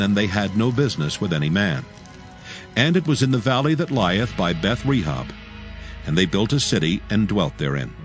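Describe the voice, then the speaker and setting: A man narrating scripture from a script as a solemn, measured storyteller. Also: fairly low pitch.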